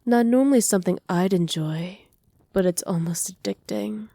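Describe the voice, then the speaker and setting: A woman voicing a doting, possessive evil witch in an intimate ASMR roleplay, speaking softly and teasingly, often slipping into breathy whispers. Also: medium pitch, moderate pace, no room sound, lightly acted. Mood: languid, pleased. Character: doting, possessive evil witch pampering her captive pet. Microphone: close microphone, dry.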